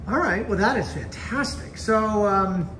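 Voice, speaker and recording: A man's voice: sits high, expressive, unhurried, fairly bright tone, roomy sound, recorded from a distance, a little hiss or hum, poor audio. A man hosting a casual local video tour, chatting with enthusiasm inside an art gallery. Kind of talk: casual chat.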